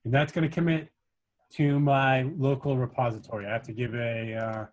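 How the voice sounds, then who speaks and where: Male workshop instructor, mid-pitched, informal and halting, walking learners through software step by step. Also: little pitch movement. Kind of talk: casual screen-share coding tutorial.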